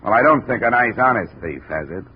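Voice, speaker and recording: An American actor playing a dry, world-weary insurance investigator in a 1950s radio detective drama. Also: male voice, medium pitch, animated, brisk, lightly acted. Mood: blunt. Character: wry, hard-boiled male insurance investigator narrating his case. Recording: dull, hissy old radio recording.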